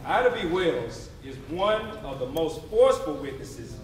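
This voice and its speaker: A man's voice: a little high, big pitch swings, a little slow. In a heavily echoing hall, away from the mic, a man declaims in a raised voice. He narrates a theatre piece emphatically, like a preacher working a call and response. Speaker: stage narrator. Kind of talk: dramatic readers theatre oration. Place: theatre stage before a responding audience. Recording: very echoey live hall, distant mic.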